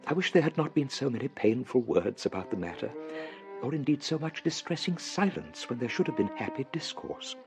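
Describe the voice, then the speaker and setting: An actor playing a gentle, sorrowful older English clergyman, confiding earnestly in a radio drama monologue. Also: mid-range voice, animated, brisk, music in the background, lightly acted. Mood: wistful, troubled.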